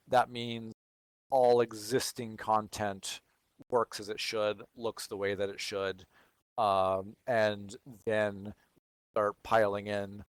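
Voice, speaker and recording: A man's voice: fairly low pitch, clear, resonant tone, dry recording. A man from Texas with no regional accent, hosting a livestream and answering viewer questions in casual, think-aloud chat.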